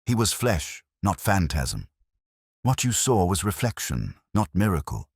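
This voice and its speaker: A man's voice: deep voice, big pitch swings, unhurried, darkish tone, slightly rough, no room sound, studio quality, voice pushed up, exaggerated voice. A man voicing a shrewd detective in a mystery audiobook, speaking in a clipped, precise and commanding manner. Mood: sharp, commanding.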